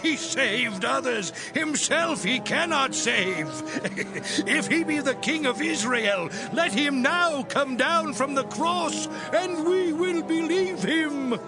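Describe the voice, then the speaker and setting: Brief: scornful chief priest, high drawn-out taunts, far off over music. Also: male voice, exaggerated voice. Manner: mocking, laughing between taunts.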